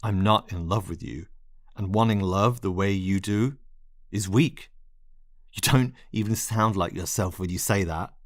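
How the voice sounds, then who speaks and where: A man's voice: sits low, a little slow, no room sound, studio quality. A man voicing a cold, curt man who rejects his lover with clipped, cutting words. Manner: clipped and cold, bursting into swearing.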